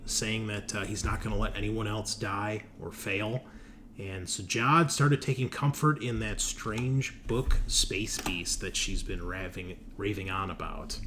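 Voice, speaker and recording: A man narrating a recap as game master of a tabletop horror game, unscripted and halting, speaking directly to the players. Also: lowish voice, fairly bright tone. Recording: clear background noise.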